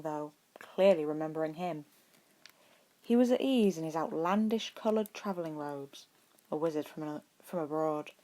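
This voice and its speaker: Low-pitched woman narrating a story. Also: warm tone. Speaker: amateur audiobook narrator.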